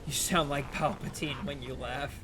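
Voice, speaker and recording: A man's voice: medium pitch, steady tone, moderate pace, background noise. A man streaming a game and chatting casually with viewers, his voice strained and wearing thin. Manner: rambling, chatty, answering chat between plays. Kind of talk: casual live-stream gameplay commentary.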